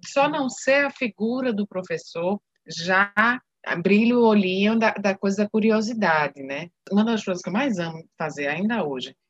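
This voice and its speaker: A woman interviewer chatting casually, relaxed, with small hesitant repeats. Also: medium pitch, normal speed, no echo. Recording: dull, dry recording.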